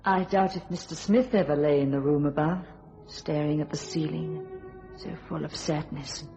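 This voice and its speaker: An actress playing a haunted, pleading wife in a radio thriller, with an earnest and dreamy dramatic delivery. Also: female voice, sits low, fairly even intonation, normal speed, warm tone, muffled recording. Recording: radio drama with music behind.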